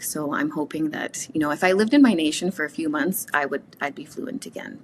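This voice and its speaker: A woman from Canada whose first language is Cree, speaking reflectively as an interview guest, with frequent pauses and restarts. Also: low-pitched, a little hiss or hum. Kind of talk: casual conversational interview.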